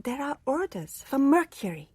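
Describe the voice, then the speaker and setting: An actress playing a shrewd, practical confidante in a radio drama, talking in a natural, inquiring way. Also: female voice, high voice, big pitch swings, moderate pace, darkish tone. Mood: concerned, uneasy. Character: shrewd, practical woman, a businessman's trusted confidante.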